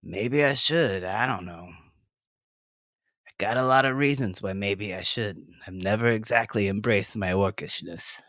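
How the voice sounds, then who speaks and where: A man's voice: on the low side, unhurried, a bit bright, no room sound, clean studio sound. A man playing a tough, blunt, slangy sixteen-year-old half-orc girl in an audio drama. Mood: guarded, musing.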